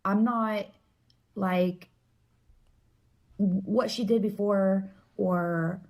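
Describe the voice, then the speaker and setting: A woman giving a casual, explanatory monologue, earnest and opinionated, often halting and leaning on filler words. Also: medium pitch, steady tone, darkish tone.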